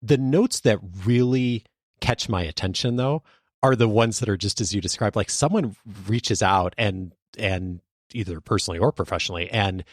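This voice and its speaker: A man hosting a conversational interview podcast, speaking in a thoughtful way with measured phrasing. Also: lowish voice, dry recording, clean studio sound.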